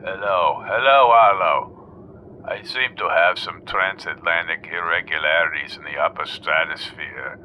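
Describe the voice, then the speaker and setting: A man voicing a pompous male weather forecaster with a low, murmuring voice. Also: unhurried, pushed and bright, a little hiss or hum, full character voice, poor audio.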